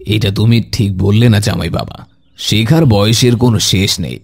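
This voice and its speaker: A man playing a kindly, pious elderly grandfather who gently admonishes in a measured, instructive way in an Islamic cartoon. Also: lowish voice, steady tone, slight background noise, natural voice. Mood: gently admonishing. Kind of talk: Islamic moral cartoon dialogue.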